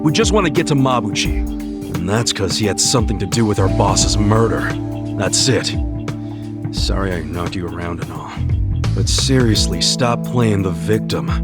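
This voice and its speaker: Man playing a lively low-voiced streetwise tough guy. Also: close to an everyday voice. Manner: casual, slangy, plain-spoken. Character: tough, streetwise but decent man questioning a thug. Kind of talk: English video game dub acting.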